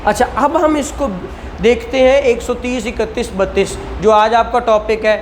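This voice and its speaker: Classroom law teacher with Pakistani Punjabi accent, informal and explanatory, mid-pitched, recorded with slight room noise. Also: fairly even intonation, unhurried. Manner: conversational, explanatory, repeated check-ins and rhetorical questions. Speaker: law lecturer. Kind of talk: informal classroom lecture with questions to students.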